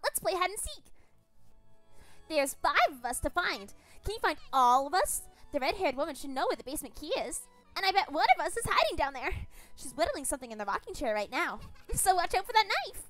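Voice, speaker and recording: A woman voicing an eerie ghost child who calls out games in a high, singsong, teasing voice. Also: big pitch swings, fairly quick, a bit bright, faint music behind. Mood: playful.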